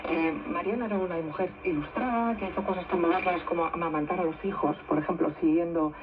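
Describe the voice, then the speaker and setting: A woman's voice: lowish voice, fairly even intonation, quick pace, phone-quality sound, noisy background. A woman, a novelist guest explaining history in a radio interview, often ending her points with tag questions. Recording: thin shortwave radio reception.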